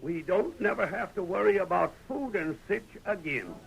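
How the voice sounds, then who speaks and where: Simple, kindly old American prospector: high, creaky, folksy, on noisy vintage radio. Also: male voice, lively intonation, moderate pace, faint music behind, exaggerated voice. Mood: wondering, grateful. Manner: folksy, plainspoken rustic dialect. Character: simple, good-hearted old prospector sidekick. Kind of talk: 1930s radio western acting. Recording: vintage 1930s radio, hissy background noise.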